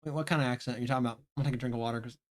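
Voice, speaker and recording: A man's voice: medium pitch, fairly even intonation, rapid-fire. A man with a light Southern accent, chatting rambly on a live game stream. He restarts his sentences, laughs often and swears casually. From Southern United States. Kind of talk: casual live-stream chat, reading game dialogue aloud.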